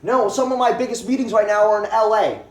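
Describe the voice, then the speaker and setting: A man in his twenties giving a joking, fast and repetitive motivational pep talk at a home meeting in a packed living room. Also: high voice. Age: 20s. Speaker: network marketing presenter. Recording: echoey room, voice from far off.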